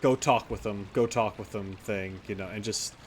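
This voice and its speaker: A man's voice: on the low side, fairly even intonation, normal speed, a little hiss or hum. A man giving casual, rambling video game commentary as a streamer. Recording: music playing behind the voice.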